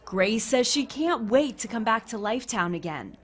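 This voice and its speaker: A woman reporter with an American accent narrates a scripted news package. Her voice sits a little higher than average as she delivers each line in broadcast style. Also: animated, normal speed, a little hiss or hum.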